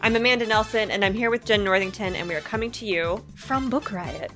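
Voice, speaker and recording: A woman hosts a podcast in a bright, forward voice, making quick jokes and casual asides. Her voice is a little stuffy from a sinus infection. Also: medium pitch, soft background music. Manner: chatty, jokey, quick asides. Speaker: podcast host. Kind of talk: casual chat.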